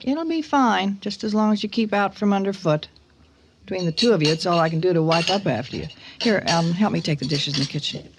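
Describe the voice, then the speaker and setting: A woman's voice: on the low side, a little fast, background noise, lightly acted. A woman playing a cool, sardonic wife and mother in her forties in a radio drama. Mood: dry, grudging.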